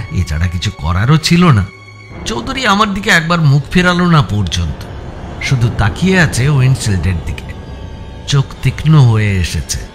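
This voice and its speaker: Expressive male suspense narrator with swooping pitch. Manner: measured, suspenseful storytelling. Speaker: story narrator, also voicing the protagonist. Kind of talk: dramatic scripted narration of suspense audio story. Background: background music.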